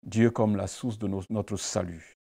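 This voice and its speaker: A man's voice: fairly low pitch, steady tone, a little slow, creaky, mellow. A man, a pastor whose first language is not French, gives a devotional sermon and prayer with emphatic repetition and rhetorical questions. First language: a language other than French.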